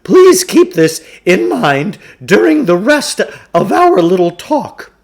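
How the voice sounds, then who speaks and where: A man's voice: high voice, big pitch swings, slow pace, vocal fry, voice pushed up, full character voice. A man voicing a pompous, grandiose storybook showman who lectures on his crackpot theories. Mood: grandiose lecturing. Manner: grandiose, speaks of himself in third person. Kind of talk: storybook character voice in a read-aloud.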